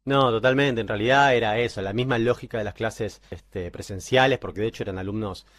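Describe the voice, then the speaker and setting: A man's voice: lowish voice, fairly quick, fairly bright tone, no room sound. A man in his forties with a Buenos Aires accent answering interview questions conversationally, hesitating and repeating words as he thinks aloud. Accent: Buenos Aires Argentine. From Buenos Aires, Argentina. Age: 40s. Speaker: guitar teacher and musician interviewed as a client.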